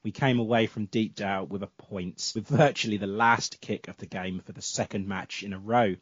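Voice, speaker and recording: A man's voice: on the low side, fairly even intonation, normal speed, husky, no echo, muffled recording. A man hosting a casual football podcast, chatty and full of fillers, with a sore throat and cough. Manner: chatty, filler-heavy, self-correcting. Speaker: podcast host.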